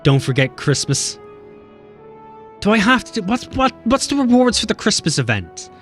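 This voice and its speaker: A man's voice: high voice, soft background music. A man streaming a game, chatting casually and swearing as he bickers with chat. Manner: animated, swearing, quick banter with chat.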